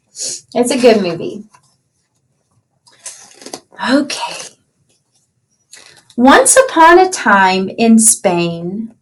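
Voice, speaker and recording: A woman with a fairly high, lively voice reads a picture book to young children. She takes her time and explains things simply, with plenty of rise and fall as she checks in with her listeners. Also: low-quality recording. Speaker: teacher reading aloud to children. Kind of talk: children's storybook read-aloud with explanations.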